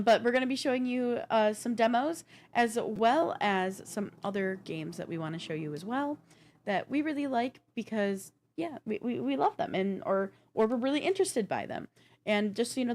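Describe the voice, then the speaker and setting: A woman's voice: mid-range voice, darkish tone. Female co-host, game streamer. Informal, chatty presenting with a joking, conversational delivery. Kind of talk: casual chatty show presenting.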